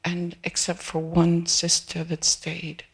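A woman in her seventies from Peru, an audience member speaking haltingly at a live event, pausing and restarting as she searches for words. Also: lowish voice, completely flat delivery, breathy edge. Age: 70s. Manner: hesitant, restarting, soft and searching. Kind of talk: halting personal testimony.

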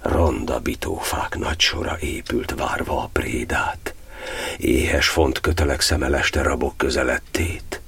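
Super deep husky imprisoned cardinal guy, anguished and solemn, reciting poetic radio play monologue. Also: steady tone, fairly bright tone, heavily acted. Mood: solemn remembrance. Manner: measured, poetic, declamatory delivery. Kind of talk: verse monodrama, theatrical radio-play declamation.

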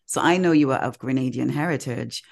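A woman's voice: a bass voice, brisk, vocal fry. A woman hosting a podcast who asks questions and murmurs agreement often. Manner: warm affirming, frequent murmured agreement. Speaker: podcast host. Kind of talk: interview questions.

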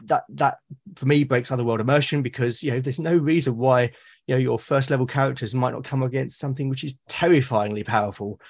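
A British man speaking as a podcast guest in casual conversation, haltingly and often repeating words as he thinks aloud. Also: mid-range voice. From Britain. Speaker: podcast guest, solo roleplaying gamer.

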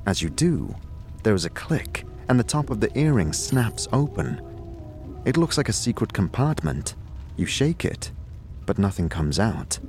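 A man narrating a scripted second-person audio drama in a deliberate storytelling manner. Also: lowish voice, sing-song, a little mellow. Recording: music behind the voice. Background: background music.

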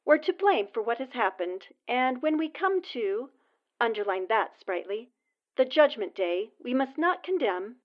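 A woman's voice: on the high side, sing-song, unhurried, lo-fi sound. A woman playing an earnest newspaperwoman dictating a column, heard as dictaphone playback in a 1940s-style radio drama. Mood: earnest, measured.

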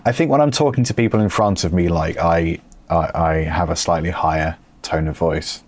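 Low-voiced, dry man. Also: moderate pace. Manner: dry, sarcastic, teasing. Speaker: podcast co-host. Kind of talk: casual chat. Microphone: remote call setup.

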